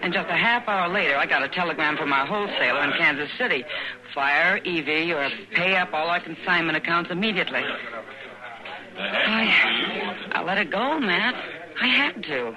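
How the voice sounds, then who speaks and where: Woman playing worldly saloon owner, frank, regretful, American, conversational, old radio drama, loud barroom crowd. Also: on the low side, expressive, normal speed, natural voice, rough recording. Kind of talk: 1950s radio western acting.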